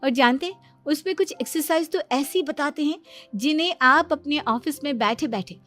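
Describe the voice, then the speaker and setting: A woman's voice: high voice, normal speed, fairly bright tone, soft background music. A woman playing a chatty, boastful young daughter-in-law in a moral story cartoon, speaking with emphatic self-assurance. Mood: breezy, smug. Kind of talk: animated Hindi moral story voice acting.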